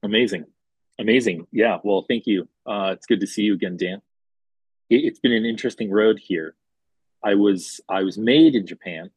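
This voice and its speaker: A man in his forties from Hawaii tells his life story as an interview guest. His low, husky voice stays relaxed and chatty, with easy fillers, and the recording is close and dry. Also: normal speed, no room sound. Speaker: guest, restaurant executive. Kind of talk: conversational storytelling.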